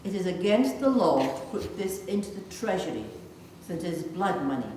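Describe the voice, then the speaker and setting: A woman with a fairly low voice reads out character lines from scripture. The sound is distant and very echoey, with the long reverb of a large church. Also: lively intonation, moderate pace, mellow. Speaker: scripture reader of several character parts. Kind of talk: scripted dramatised Bible reading. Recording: echoey church hall, distant, faint noise.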